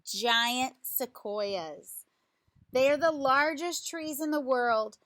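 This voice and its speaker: A woman with a high voice reads a children's book aloud at a slow pace. Her delivery is deliberate and instructive, like a teacher guiding young listeners with questions. The recording has clear noise behind her. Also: a bit bright.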